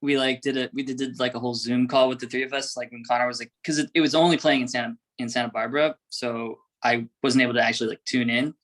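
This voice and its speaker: American guy in a band talking real fast, mid voice, rambly casual chat. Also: mid-range voice, fairly even intonation, fairly bright tone. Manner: rambling, peppered with "like". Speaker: band member, interview guest.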